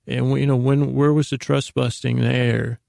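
Male podcast host, middle-aged, gravelly fry, halting lulling bedtime rambles, dry intimate recording. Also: medium pitch, level tone, a little mellow, no room sound. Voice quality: gravelly, creaky. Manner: meandering, halting, repeats and trails off; lulling, soothing, friendly tones. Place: small closet full of clothes, indoors.

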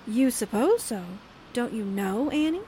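A woman acts out a spirited newspaperwoman sidekick in an old-time radio drama. She is plain-spoken and folksy, exclaiming in a fairly high voice. There is a faint rumble in the background. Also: lively intonation, measured pace, warm tone, low-quality recording. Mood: frank.

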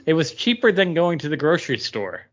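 A man's voice: fairly high pitch, sing-song, a little fast, lo-fi sound. A man chatting casually as a podcast co-host. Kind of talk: casual chat.

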